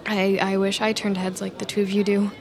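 An actress playing an anxious, jealous, scheming young woman in an audio drama, halting and brooding in manner. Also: mid-range voice, little pitch movement, lightly acted. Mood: wounded, awkward. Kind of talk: audio drama acting with muttered monologue. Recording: music bed behind the voice.

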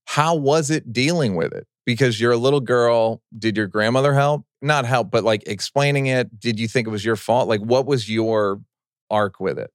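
Male host, mid pitch, blunt and sweary, dry studio mic. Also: moderate pace, no echo. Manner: blunt, profane, probing questions. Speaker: interview host. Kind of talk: casual interview chat.

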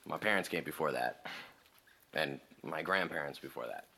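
An actor playing a laid-back, teasing American legacy high school senior in an audio drama, with a casual, wry manner. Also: male voice, moderate pace, gravelly, sharp tone, slightly rough, exaggerated voice. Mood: wry, relaxed. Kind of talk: conversational audio drama acting.

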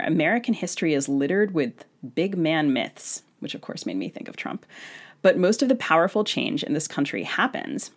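A woman co-hosting a literary podcast, putting interview questions to a guest. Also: deep voice. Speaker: podcast co-host.

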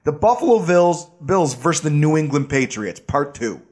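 A young adult man hosting a casual sports podcast, animated and sarcastic, a bit hoarse. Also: a little high, moderate pace. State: slightly hoarse. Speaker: podcast host.

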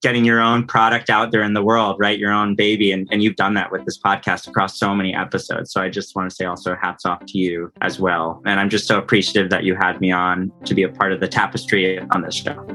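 Male podcast guest, conversational and animated, with a lowish, bright, forceful voice. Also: fast, hardly any pauses, low-quality recording. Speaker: podcast guest, composer and audio producer. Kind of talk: casual interview conversation.

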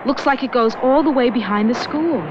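A woman's voice: high-pitched, brisk, lightly acted. An actress playing an earnest young woman in a radio drama who persistently pushes to go to the police. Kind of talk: 1970s radio drama acting. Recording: lo-fi old radio broadcast with hiss.

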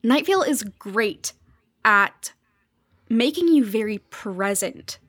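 A woman's voice: fairly high pitch, animated, slow pace, a bit bright, no echo. Thoughtful woman explaining, in a dry recording. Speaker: podcast guest, journalist. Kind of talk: conversational podcast commentary.

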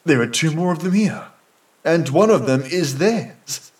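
Guy doing an urgent army corporal in an audio story, calling out excited, voice pitched up. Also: measured pace. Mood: urgent, astonished. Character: good-hearted army corporal, urgent and astonished.

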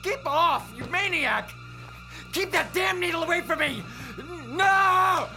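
A man's voice: very high-pitched, expressive, slow pace, gravelly, shouting, over loud music, exaggerated voice, low-quality recording. An actor playing a freshly revived dead man, terrified and shrieking in a radio drama.

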